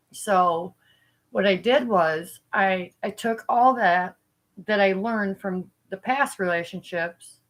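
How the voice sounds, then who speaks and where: A congested woman speaking casually to camera about spiritual healing, unhurried, with many pauses and fillers. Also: lowish voice, a bit bright, rough recording. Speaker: spiritual channeler.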